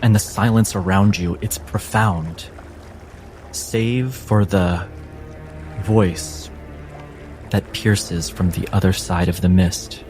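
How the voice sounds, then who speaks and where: A man with a lowish voice narrates as a game master, taking his time with deliberate pauses. The delivery is dramatic and suspenseful, with music underneath. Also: steady tone, darkish tone. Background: background music and sound effects.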